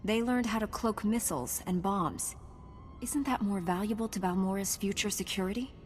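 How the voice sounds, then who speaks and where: A woman's voice: medium pitch, normal speed, close to an everyday voice. A woman playing a composed, dutiful and earnest young Jedi knight in a video game. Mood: firm. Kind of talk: scripted video game cutscene acting. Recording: long hall reverb, faint music.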